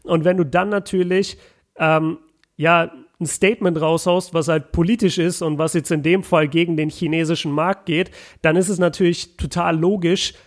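Chatty young man with a raised pitch. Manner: chatty, many fillers and restarts, mixes English words in. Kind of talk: casual podcast discussion.

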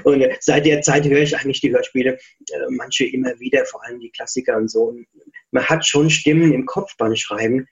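A middle-aged man chats casually in an interview, thoughtful and self-deprecating. His voice sits at an ordinary pitch. The recording is a little dull and lo-fi. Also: fairly even intonation, brisk. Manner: chatty, reflective, self-deprecating. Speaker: author interview guest.

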